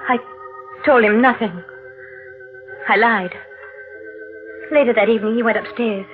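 An actress playing an anxious, secretive wife in a 1940s radio mystery, speaking with nervous hesitations and repeated words. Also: female voice, on the high side, a little slow, music in the background, natural voice. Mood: tense, confessional. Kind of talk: 1940s radio drama acting, confessional narration. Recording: narrow-band vintage radio recording.

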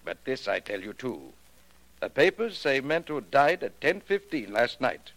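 An actor playing a proud, sly maker of magic illusions in a 1940s radio mystery, with formal, deliberate, theatrical phrasing. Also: male voice, medium pitch, sing-song, fairly bright tone, low-quality recording. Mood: sly, boastful. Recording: vintage 1940s radio recording.

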